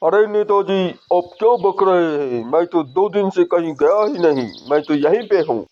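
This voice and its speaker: A man plays a stern tantric sage in a cartoon horror story, speaking gravely and with authority. The voice sits somewhat high, and the recording sounds muffled and lo-fi. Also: lively intonation, normal speed, darkish tone. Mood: indignant. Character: calm older male tantric sage. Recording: dull lo-fi sound.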